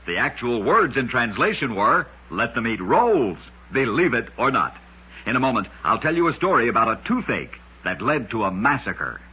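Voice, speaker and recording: Husky, bright-voiced male radio storyteller. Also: mid-range voice, sing-song, normal speed. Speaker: radio host and narrator. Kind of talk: scripted vintage radio narration. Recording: dull lo-fi old radio recording.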